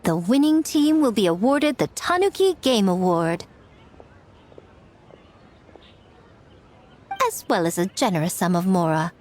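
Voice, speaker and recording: An actress playing a poised, sly festival organizer in an anime game, speaking with playful, leisurely teasing. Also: female voice, fairly high pitch, a little hiss or hum. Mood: formal, announcing.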